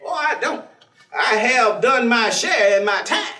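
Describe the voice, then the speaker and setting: An actor playing a folksy, devout former drinker in a staged reading, playful and persistent, telling stories in colloquial speech with theatrical exclamations. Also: male voice, very high voice, expressive, a little slow, pushed and bright, full character voice. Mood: storytelling. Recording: echoey stage, distant microphone.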